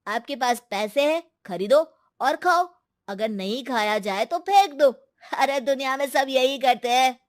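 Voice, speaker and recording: A woman's voice: sits high, lively intonation, measured pace. A woman voicing a spoiled, arrogant younger brother in a cartoon moral story, arguing dismissively. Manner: dismissive, argumentative.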